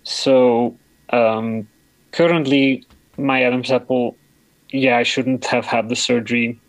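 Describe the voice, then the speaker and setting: A man with a deep voice giving a personal account in an interview, often pausing and searching for words. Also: steady tone, dry recording, poor audio. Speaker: interview guest.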